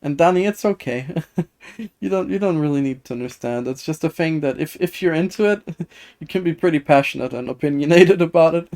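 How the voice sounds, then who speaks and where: A man live streaming a video game, chatting casually with viewers in a hesitant, halting way that often repeats words. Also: a little high, darkish tone. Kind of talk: casual chat while gaming, reading and answering viewer comments. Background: video game music.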